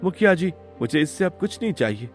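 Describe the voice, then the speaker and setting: A man's voice: high-pitched, very animated, brisk, faint music behind. A man voicing a wronged but principled younger brother from a village in a melodramatic cartoon moral tale, speaking earnestly and sorrowfully. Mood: sad, resolute. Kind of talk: melodramatic Hindi moral-story cartoon acting.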